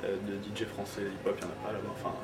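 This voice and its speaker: A man's voice: on the low side, level tone, racing. A French man in his twenties, a DJ guest chatting casually and joking, with lots of hesitant fillers. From France. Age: 20s. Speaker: DJ, interview guest. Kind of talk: casual interview chat. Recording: distant mic, noisy background.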